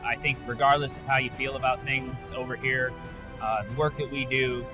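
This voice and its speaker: An American man in his forties speaks as a military officer in a documentary interview. His voice sits at a medium pitch, with an earnest, explanatory manner. Music plays clearly behind him. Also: flat delivery, normal speed.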